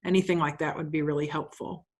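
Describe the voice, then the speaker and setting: A woman's voice: low voice, steady tone, fast. A woman playwright explaining her project informally, often rephrasing as she asks for feedback.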